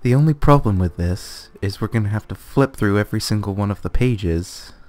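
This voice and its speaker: A man's voice: fairly low pitch, animated, a little fast, a little mellow. A man co-hosting a let's play, chatting casually and reading a book aloud dramatically in a jokey way. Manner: jokey, easily amused. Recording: music behind the voice.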